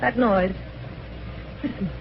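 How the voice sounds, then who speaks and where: A woman's voice: medium pitch, slow pace, creaky. A woman playing an anxious, evasive young woman in an old-time radio drama, hesitant yet insistent. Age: young adult. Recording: muffled, hissy vintage radio recording.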